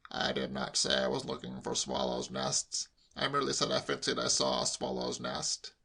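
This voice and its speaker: A man voicing an irritable, exasperated older English gentleman in a comic audiobook, testy, strained and emphatic. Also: mid-range voice, sing-song, moderate pace, gravelly, slightly rough, heavily acted.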